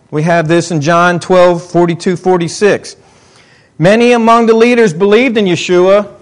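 A middle-aged man preaching a sermon in a conversational way, swinging between excited, emphatic lines and quiet muttered asides. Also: high-pitched. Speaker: pastor.

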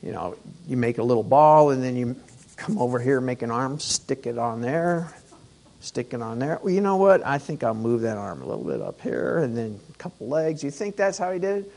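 A man teaching a weekly Torah portion, hoarse from losing his voice, talking conversationally with rhetorical questions. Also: medium pitch, darkish tone.

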